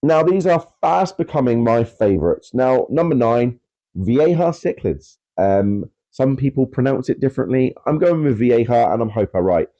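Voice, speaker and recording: A man's voice: medium pitch, lively intonation, normal speed, dark tone, highs cut off. Stuffy-nosed man chatting about fish. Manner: rambling, filler-heavy, self-deprecating about pronunciation. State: congested with a cold. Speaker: aquarium channel host. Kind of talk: casual chatty video talk.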